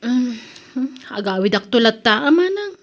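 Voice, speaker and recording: Evasive, resentful married migrant worker, high female voice, Ilocano accent, audio drama, acted by a woman. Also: sing-song, normal speed. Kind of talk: Ilocano radio-style family drama acting.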